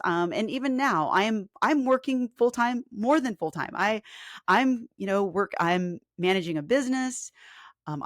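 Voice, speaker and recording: A woman in her forties, a fitness coach, talking casually on a live stream with a hoarse, scratchy voice and lots of ums. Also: mid-range voice, dry recording, studio quality. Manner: chatty and earnest, with filler ums and restarts. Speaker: fitness coach and podcast host.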